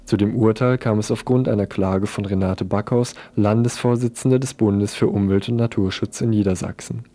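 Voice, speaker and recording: A male radio presenter with a fairly low voice and a dark, relaxed tone. He is recorded close and dry, delivering news magazine links and interview questions. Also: moderate pace, dry recording.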